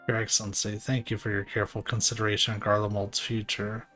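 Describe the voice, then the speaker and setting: A man's voice: on the low side, little pitch movement, fast, sharp tone, soft background music. A man voicing a respectful, reasonable diplomat in a video game, with polite, formal diction. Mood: grateful, agreeable.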